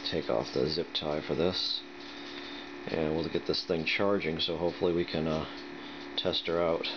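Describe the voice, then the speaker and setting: Very deep-voiced man, noisy lo-fi recording. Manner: hesitant, thinking aloud as he goes. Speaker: product reviewer. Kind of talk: casual unboxing and demonstration talk. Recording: dull lo-fi sound with loud noise.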